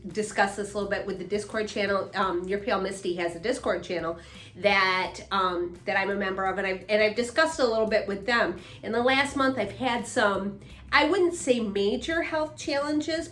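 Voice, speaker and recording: A woman's voice: mid-range voice, fairly bright tone. A woman in her fifties co-hosting a casual chat, rambling with many restarts and fillers. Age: 50s. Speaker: co-host, mother. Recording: echoey room, faint music.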